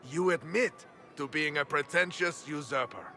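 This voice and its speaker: An actor playing an arrogant, contemptuous marquis with a sneering, sarcastic manner in a fantasy video game. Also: male voice, a little high, moderate pace, vocal fry, pushed and bright. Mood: sneering contempt. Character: arrogant, contemptuous nobleman marquis picking a public quarrel. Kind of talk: video game dialogue acting.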